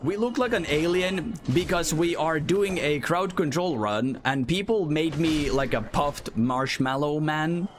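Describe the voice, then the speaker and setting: A man's voice: a little high, a little slow, few pauses, faint music behind. A man from Finland, chatting as a streamer over live gameplay and reading chat aloud, often apologizing.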